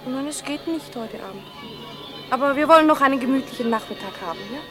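An actress playing a lively, wheedling young sweetheart in a 1960s German radio play, with a girlish, chattering manner. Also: sits high, fast. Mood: gently coaxing. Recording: 1960s radio recording, music behind.

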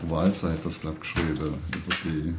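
A man's voice: a bass voice, little pitch movement, fairly quick. A man interviewing casually outdoors, speaking in short questions and brief conversational remarks. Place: outdoors beside a road near a lake. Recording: loud traffic noise, outdoor field recording. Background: loud vehicles and street ambience.

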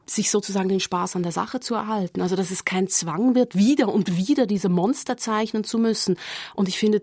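A woman's voice: medium pitch, no room sound. A woman giving an articulate, explanatory studio talk for a radio magazine, building her points in short stacked phrases. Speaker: radio critic discussing comics.

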